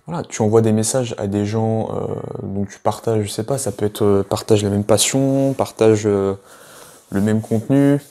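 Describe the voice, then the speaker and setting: A man's voice: on the low side, steady tone, moderate pace, mellow. A man giving a casual video lesson as an English teacher, talking straight to the viewer with many hesitations. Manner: chatty, addresses viewer directly, many hesitations.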